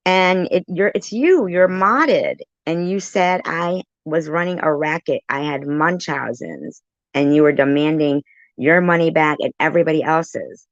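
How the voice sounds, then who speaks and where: A woman in a heated, informal argument, defensive and quick to contradict. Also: lowish voice, no room sound. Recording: muffled lo-fi audio.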